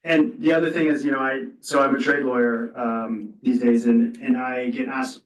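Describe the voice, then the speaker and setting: A man's voice: mid-range voice, level tone, normal speed, dull audio. A man speaking as a trade lawyer on a panel, thinking aloud and hedging as he answers. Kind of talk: spontaneous panel discussion answer. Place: indoor university event hall. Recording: echoey room, distant mic.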